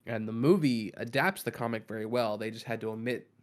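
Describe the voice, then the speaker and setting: A man with a gravelly voice co-hosting a casual podcast, giving a long, loose explanation full of ums and restarts. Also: medium pitch, fairly quick. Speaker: podcast co-host.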